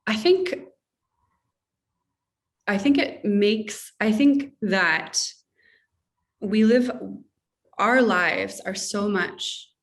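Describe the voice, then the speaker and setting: A woman's voice: medium pitch, animated, very slow, pauses often, distant mic. A woman author from Russia chatting effusively in an interview over a video call, rambling and circling back mid-sentence. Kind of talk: casual interview chat.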